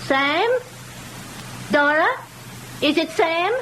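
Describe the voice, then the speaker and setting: A woman's voice: very high voice, animated, extremely slow, fairly bright tone, slight background noise, full character voice. An actress playing a wounded, meddling older mother with melodramatic, inverted phrasing in an old-time radio drama.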